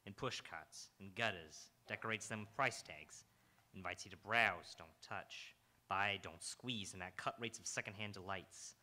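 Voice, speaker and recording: Man acting a low, hard-boiled radio detective. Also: bright, forceful tone, slight background noise. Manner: terse, brooding, poetic phrasing. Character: hard-boiled, world-weary New York police detective narrating his case. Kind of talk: old-time radio noir acting, second-person narration.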